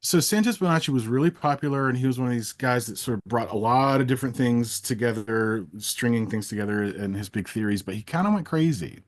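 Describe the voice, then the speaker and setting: Wry man bantering in halting bursts. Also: medium pitch. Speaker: live stream co-host. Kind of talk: casual unscripted banter.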